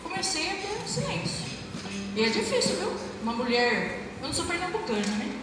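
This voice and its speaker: A middle-aged woman with a Brazilian Portuguese accent telling her church testimony to an audience, a lively storyteller who acts out dialogue and asks the crowd questions. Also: very high voice, fairly quick, very noisy. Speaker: former pastor giving a testimony. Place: large hall. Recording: echoey hall from far off with music. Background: music.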